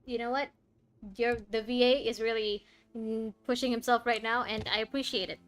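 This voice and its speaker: Higher-voiced woman streaming a game. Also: fairly even intonation, normal speed, a bit bright. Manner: playful, reacts aloud to the game. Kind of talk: casual gameplay commentary. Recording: game music behind the voice.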